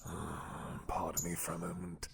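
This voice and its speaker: A man playing an ancient, imprisoned mad dragon king in an intimate audio roleplay, seductive and menacing, with a husky, breathy voice and a teasing, hesitant drawl. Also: low voice, monotone, very noisy. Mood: seductive, playful. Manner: slow, teasing, hesitant drawl with murmured asides.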